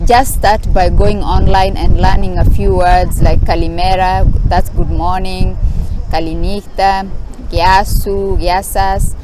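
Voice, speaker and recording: Slow, hesitant woman outdoors. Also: medium pitch. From Kenya. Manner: hesitant, pausing often with fillers. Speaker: vlogger. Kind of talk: casual advice vlog. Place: outdoors sitting on rocks. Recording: outdoor recording with traffic noise.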